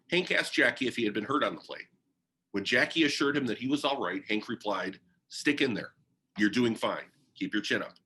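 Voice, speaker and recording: A man's voice: medium pitch, bright, forceful tone, a little hiss or hum. A man reading a biography aloud as narration, in the style of a book for young listeners.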